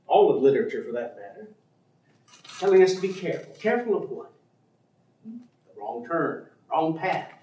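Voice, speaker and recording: An actor playing a learned, skeptical male professor in a staged play reading, speaking tersely and formally. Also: fairly high pitch. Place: theatre stage. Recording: echoey stage recording from far off.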